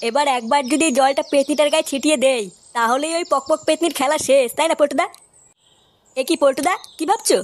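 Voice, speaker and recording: A performer voicing a cheeky, foul-mouthed, scheming young village lad in a comic cartoon, brash and excitable. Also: high voice, quick pace, slight background noise, natural voice.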